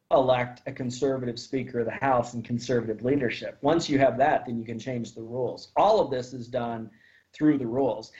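A man, a former state senator, guest on a podcast, explaining step by step in a measured way with some fillers. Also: medium pitch, darkish tone. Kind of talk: casual political interview. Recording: dull lo-fi sound.

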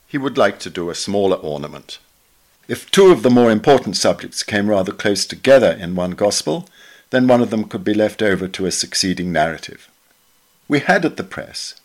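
A man reading a memoir essay aloud in a measured, literary, expressive way. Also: on the low side. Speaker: reader of a magazine article.

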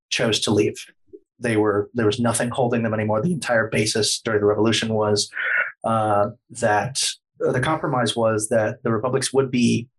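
A man's voice: on the low side, breathy edge, low-quality recording. A man talking as a podcast guest, explaining history at length in a lecturing way, hedging often with plenty of fillers.

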